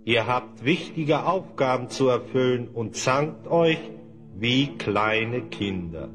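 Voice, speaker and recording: A man's voice: medium pitch, expressive, takes it slowly, fairly bright tone. A male actor playing a wise old seer in a fantasy audio drama, stern, solemn and commanding. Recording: long hall reverb with music.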